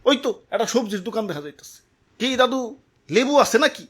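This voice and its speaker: A man's voice: very high voice, lively intonation, fast, a bit bright, slightly rough, full character voice. A man playing a weary, thrifty shopper in a comic cartoon, speaking colloquial dialect with a Bangladeshi accent. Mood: glum, longing. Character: long-suffering thrifty shopper, glum and weary.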